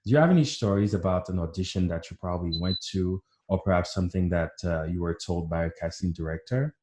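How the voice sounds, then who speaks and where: A man's voice: sits low, fast talker, roomy sound, background noise. A man hosting an interview, asking polite questions and giving brief affirmations. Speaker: host. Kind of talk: conversational interview.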